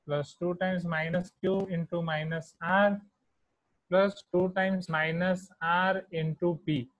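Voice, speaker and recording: A male maths teacher with an Indian accent runs an online class and spells out each term slowly and deliberately. His voice sits fairly high. The sound is dry and close, with no room echo. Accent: Indian English. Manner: slow, deliberate dictation of terms while writing on a whiteboard.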